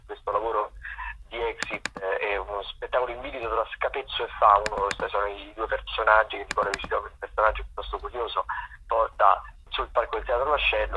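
A man, a theatre critic, giving the week's theatre listings over the phone in a relaxed, rambling way full of hesitations. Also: on the low side, creaky, breathy edge. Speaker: theatre critic and regular contributor. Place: at a festival, away from the studio. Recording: tinny phone line.

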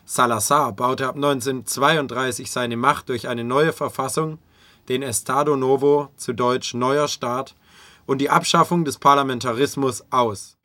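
Male narrator for a radio history feature, mid-pitched, scripted delivery, recorded dry. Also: steady tone, moderate pace, bright, forceful tone, no room sound.

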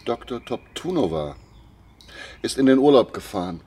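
An actor playing a contemptuous Soviet KGB boss in a noir radio drama, sneering, mock-polite and threatening, with a husky voice. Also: male voice, mid-range voice, big pitch swings, normal speed, dark tone. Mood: coolly mocking.

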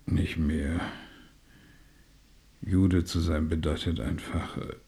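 Weary lawyer father in a radio play, very husky and deep. He is taciturn and gloomy, speaking slowly in heavy, halting phrases. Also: fairly even intonation, mellow, heavily acted. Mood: weary, resigned. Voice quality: husky, gravelly. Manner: halting, heavy, sparse speech. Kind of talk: radio drama acting.

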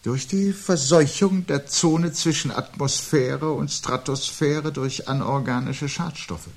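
A man's voice: fairly high pitch, slow pace. An actor playing a weary, gloomy intellectual man in a radio play, speaking in a pensive, pedantic and declamatory way. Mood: ominous, lecturing. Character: gloomy, weary intellectual man who dreads birds and doom. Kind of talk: 1970s German radio play dialogue. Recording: hissy 1970s radio recording.

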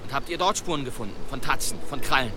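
An actor in a German radio play, playing a shrewd, polite medieval demon hunter with formal, probing speech. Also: male voice, medium pitch, animated, fast talker, close to an everyday voice. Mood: calm, probing. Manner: courteous, probing, formal phrasing. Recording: noisy outdoor ambience behind voice.

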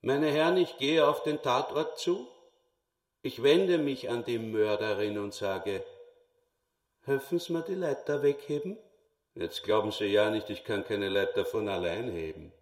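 A man's voice: medium pitch, measured pace, distant mic, natural voice. An actor playing a brutal, self-important retired policeman in a radio play, declaiming pompously. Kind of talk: German radio play acting. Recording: echoey hall, away from mic.

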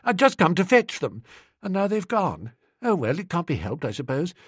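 Amiable young English toff, done by a male narrator: sheepish, bumbling, chatty, swooping pitch, husky, storybook comedy. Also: wildly swooping pitch, normal speed, no room sound, clean studio sound, heavily acted. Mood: mildly dismayed. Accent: upper-class English. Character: mild, sheepish young English lord, amiable silly student.

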